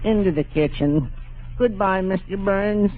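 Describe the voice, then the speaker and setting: A man's voice: high voice, measured pace. Doleful, droll old-radio postman. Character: doleful, sardonic married male postman. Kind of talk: 1950s radio comedy acting. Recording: narrow, muffled vintage radio broadcast.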